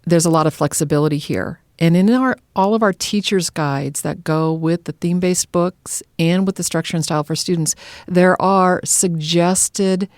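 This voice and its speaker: A woman's voice: lowish voice, normal speed, no echo, clean studio sound. A woman co-hosting a podcast, agreeable and conversational as she expands on points. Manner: agreeable, elaborates on the other speaker's points. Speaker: podcast co-host.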